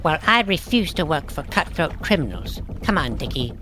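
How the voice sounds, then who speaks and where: Haughty young heroine, woman actor, lowish pitch, refined and commanding, fantasy audio drama. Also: sing-song, moderate pace, fairly bright tone, slight background noise. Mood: indignant. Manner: fancy, imperious, formal phrasing. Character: bossy, refined, haughty girl adventurer.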